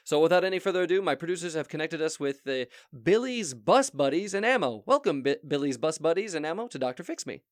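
A man's voice: on the high side, animated, fast talker, few pauses, no room sound, clean studio sound, lightly acted. An actor playing a flustered male therapist who hosts a show, stammering as he tries to keep the session on track. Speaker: host.

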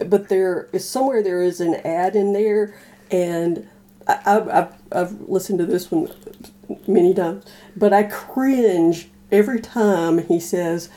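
A woman co-hosting a casual discussion of old radio dramas, explaining things in a thoughtful, halting way. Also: fairly low pitch, warm tone. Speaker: podcast co-host.

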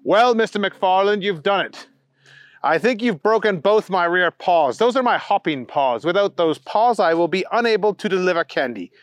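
An indignant, self-important male bunny from a children's story, acted out by a man. He speaks high and animated, lecturing in prim, formal phrases as if deeply put upon. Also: moderate pace, no room sound. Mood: exasperated, lecturing. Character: indignant, fussy, pompous talking Easter Bunny, male.

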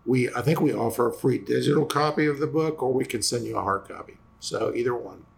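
A man with a raspy voice, a lawyer hosting a casual explanatory talk, halting with fillers and restarts as he loses his voice. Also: mid-range voice. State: losing voice after vocal cord injections. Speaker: personal injury lawyer and host.

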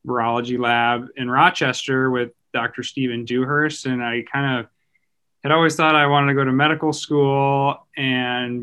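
A man's voice: medium pitch, bright, forceful tone, smooth. An American man in his thirties, a researcher guest telling his background conversationally in an interview. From United States. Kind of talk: casual conversational interview answer.

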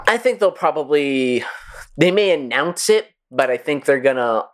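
A man's voice: on the high side, animated, normal speed. A man co-hosting a podcast in casual chat, trading short reactions and banter. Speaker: podcast co-host.

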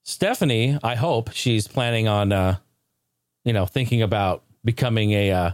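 A man hosting a podcast in casual comedic chat, talking in a jokey, excitable, informal way. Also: on the low side, moderate pace, clear, resonant tone, no echo, clean studio sound. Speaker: podcast host.

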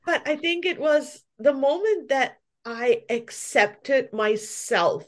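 A woman's voice: high voice, lively intonation, takes it slowly. Reflective woman from Malaysia. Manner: reflective, laughs often while telling her story. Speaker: guest, pastry chef and shop owner. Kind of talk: casual interview conversation.

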